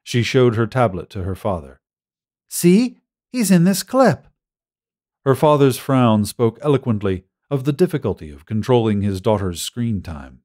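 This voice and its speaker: A man's voice: fairly low pitch, animated, normal speed, few pauses, a little mellow. A man reading a scripted short story as an audiobook narrator.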